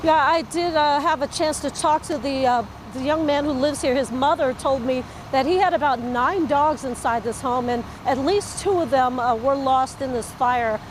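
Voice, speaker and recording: Clear street and vehicle noise sits behind a high-pitched woman reporting live outdoors. She has an American accent and talks through the news partly off the cuff, as a field reporter does. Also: moderate pace, few pauses, rough recording. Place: outdoors in a residential neighborhood.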